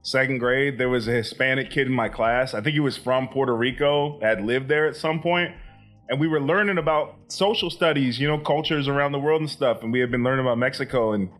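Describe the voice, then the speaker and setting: A man's voice: medium pitch, brisk, fairly bright tone, soft background music. Laid-back young man on a podcast. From Florida, USA. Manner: laid-back, rambling with fillers, swears casually. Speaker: podcast co-host. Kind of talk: casual comedy podcast banter.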